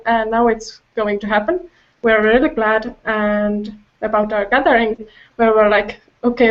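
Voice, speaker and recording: A woman chatting casually as a podcast guest, haltingly searching for her words. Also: fairly high pitch, lo-fi sound. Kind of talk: casual chat.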